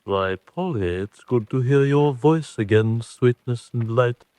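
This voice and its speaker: Guy acting roguish teasing fella, real slow wry drawl, old radio drama. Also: mid-range voice, dark tone, dry recording, natural voice. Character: roguish, teasing, easygoing man on telephone.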